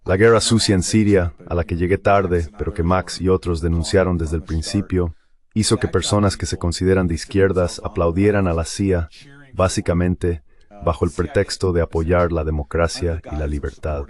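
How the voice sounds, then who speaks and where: A man delivering a dubbed political panel commentary as a voice-over, arguing in a measured, articulate way. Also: deep voice, fairly even intonation, darkish tone.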